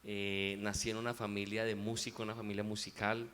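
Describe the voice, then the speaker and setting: A man with a Colombian paisa accent, a musician guest giving a reflective, unhurried faith testimony in an interview. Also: fairly low pitch, level tone, fairly bright tone, slight background noise.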